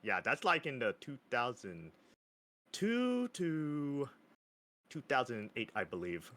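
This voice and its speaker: A man's voice: mid-range voice, sing-song, slow, pushed and bright. A man in his thirties, a podcast guest chatting casually, hesitant and laughing often. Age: 30s. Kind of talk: casual chat.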